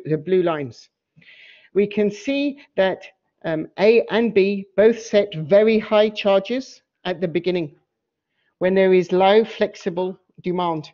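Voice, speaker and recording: Woman lecturer, mellow voice, dull audio. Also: mid-range voice. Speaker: professor giving a research lecture. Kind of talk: academic lecture explaining slides.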